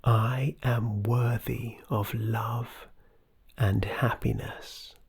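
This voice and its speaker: A low-pitched meditation guide speaks softly and very slowly, leaving room between phrases. The voice is husky and breathy with a creaky edge, soothing and often close to a whisper. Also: little pitch movement. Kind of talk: scripted guided meditation narration.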